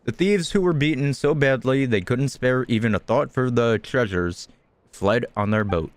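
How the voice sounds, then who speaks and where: A man's voice: mid-range voice, normal speed. A man doing a game playthrough, reading the dialogue aloud and sometimes stumbling and correcting himself.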